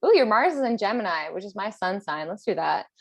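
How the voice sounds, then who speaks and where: A woman's voice: mid-range voice, big pitch swings, brisk, creaky, no room sound. Woman podcast host, close dry sound. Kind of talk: casual podcast chat, sometimes reading aloud.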